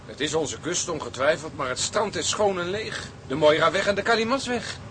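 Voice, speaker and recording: An actor playing a dutiful ship's officer who talks respectfully in a radio drama. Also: male voice, on the high side, lively intonation, a little fast, a bit bright, a little hiss or hum. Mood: puzzled. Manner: respectful, conversational. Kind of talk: Dutch radio drama acting.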